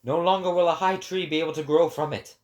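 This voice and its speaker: A man voicing a lofty, sorrowful prophet who preaches a grave warning in a deliberate, declamatory dramatic reading. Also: a little high, steady tone, darkish tone, close to an everyday voice. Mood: solemn warning. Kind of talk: dramatic philosophical oration.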